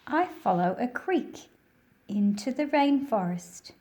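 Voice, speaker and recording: Slow-paced woman reading to children. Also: a little high, big pitch swings, warm tone. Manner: deliberate, simple phrasing addressed to children. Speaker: children's read-aloud host. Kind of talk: slow picture-book read-aloud with friendly asides to young viewers.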